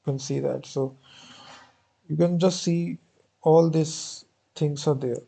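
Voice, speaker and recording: A man's voice: on the high side, steady tone, slow, creaky, warm tone. A man walking through code step by step in a programming tutorial, often saying "actually" and "basically". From India. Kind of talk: screen-recorded coding walkthrough.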